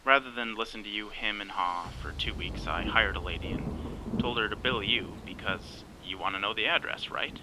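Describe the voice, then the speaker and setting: A male actor as a low-voiced man playing the wisecracking best friend in an audio drama. He is bossy and wry, delivering sarcasm with exasperation, over clear background noise. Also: normal speed, lightly acted. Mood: sardonic, teasing. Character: sardonic, bossy male best friend named Larry, cellphone company worker. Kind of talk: naturalistic audio drama acting.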